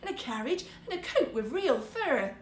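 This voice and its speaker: A woman voicing a scornful, sharp-tongued, quick-witted wife in a fairytale, with theatrical exclamations. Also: high voice, very animated, a little hiss or hum, pitched above the performer's own voice. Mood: greedy excitement. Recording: roomy, echoing sound.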